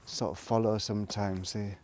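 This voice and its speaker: A man talking casually to a vlog audience in a reflective, rambling monologue, musing haltingly and trailing off between thoughts. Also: fairly low pitch, little pitch movement, a little mellow. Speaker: vlogger. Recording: noticeable background noise, clicks.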